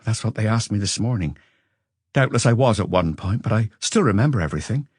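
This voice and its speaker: A man's voice: on the low side, animated, a little fast, a little mellow, breathy edge, no echo, studio quality. A man voicing a weary businessman under police questioning, earnest, wordy and self-justifying, in an audiobook. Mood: defensive.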